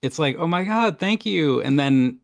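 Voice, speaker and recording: A man's voice: a little high, expressive, normal speed, gravelly, no echo. A man chatting casually as a guest on a podcast.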